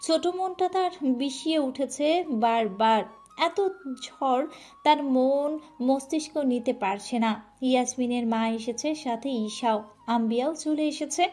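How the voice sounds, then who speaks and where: A woman's voice: high voice, takes it slowly, darkish tone, soft background music. Woman narrating an emotional story.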